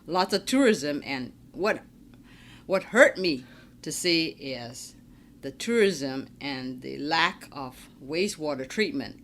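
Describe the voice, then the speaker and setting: A middle-aged woman from Hong Kong with a slight Chinese accent, chatting as an interview guest, chatty and quick to laugh. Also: deep voice, expressive, fairly bright tone, slight background noise. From Hong Kong, raised in Trinidad and Tobago. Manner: chatty, laughs often, restarts words. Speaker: stormwater manager, guest. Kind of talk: casual interview chat.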